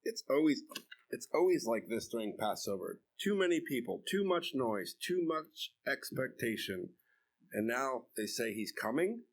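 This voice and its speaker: A man's voice: mid-range voice, very animated, moderate pace, low-quality recording. A man playing a skeptical townsman who keeps questioning, in an amateur church dramatic reading. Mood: doubtful. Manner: doubting, questioning.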